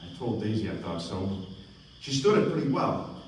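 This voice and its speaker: A man playing a genteel, guarded wealthy gentleman in a radio play, in a distant, echoey and noisy recording. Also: on the low side, sing-song, normal speed. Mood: uneasy, guarded.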